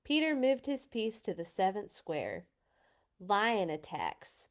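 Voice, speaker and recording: A woman narrating a children's storybook aloud in an expressive read-along style. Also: fairly high pitch, moderate pace.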